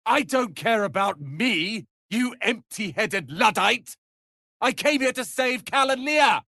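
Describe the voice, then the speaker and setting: A man's voice: high voice, lively intonation, takes it slowly, gravelly, pushed and bright, no echo, clean studio sound, heavily acted. An actor playing a haughty, prickly young male wizard's apprentice in a fantasy video game, with clipped, theatrical delivery. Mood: indignant.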